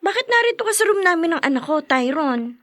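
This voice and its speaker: A woman's voice: very high voice, sing-song, fairly quick, a bit bright, heavily acted. An actress playing a flippant, snappy, defiant young mother in a Filipino audio drama. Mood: evasive. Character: brash, defiant young mother, uncaring sister. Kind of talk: Filipino podcast radio drama acting.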